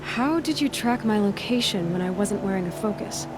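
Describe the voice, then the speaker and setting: A woman's voice: medium pitch, expressive, moderate pace, loud music behind the voice, natural voice. A woman playing a defiant young hunter in a video game, guarded, skeptical and wry. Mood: wary, wry. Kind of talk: video game cutscene dialogue. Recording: clear ambient noise behind.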